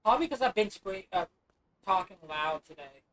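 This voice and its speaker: Hoarse, rambling woman streamer. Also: mid-range voice, animated, normal speed, a bit bright. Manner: rambling, loose, with many restarts. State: scratchy, hoarse voice. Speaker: gaming livestreamer. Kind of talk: casual chat while playing.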